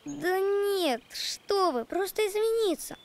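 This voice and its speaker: A very high-voiced little boy. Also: expressive, takes it slowly, a little hiss or hum, heavily acted. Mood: timid, apologetic. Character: small polite, apologetic boy. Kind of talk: children's cartoon character acting.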